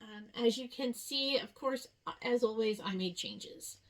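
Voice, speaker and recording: A woman hosting a casual craft show-and-tell, chatting in an unhurried way with rambling asides. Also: fairly high pitch. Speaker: cross-stitch video host.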